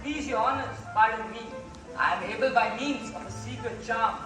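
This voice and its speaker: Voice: medium pitch, moderate pace, lightly acted. A young student actor playing a courteous, boastful stranger who offers his services in rhymed stage verse. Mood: courteous, self-assured. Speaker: student actor in school play. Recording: echoey school hall from far, music behind.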